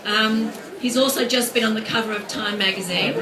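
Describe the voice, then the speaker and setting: Woman, event host, chatty, higher voice, distant mic, crowd chatter. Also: steady tone, a little fast. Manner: chatty, lightly laughing, with filler ums. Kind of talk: live event hosting. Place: restaurant event space.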